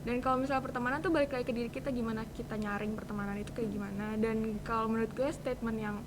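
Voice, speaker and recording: A woman's voice: on the high side, fairly even intonation, rapid-fire, background noise. A young woman in her teens with a Jakarta accent explains her views informally as a guest on a student podcast. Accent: Jakarta Indonesian. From Indonesia. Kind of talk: casual podcast conversation.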